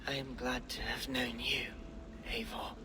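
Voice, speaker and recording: An actor playing a dying male warrior who speaks weakly in a fading, halting voice. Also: mid-range voice, little pitch movement, background noise, lightly acted. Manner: slow, faint, halting. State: dying, weak.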